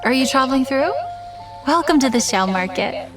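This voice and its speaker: An actress playing a friendly, organised festival coordinator in a game, polite and gently amused. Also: female voice, high voice, lively intonation, normal speed. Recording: distant voice over game music.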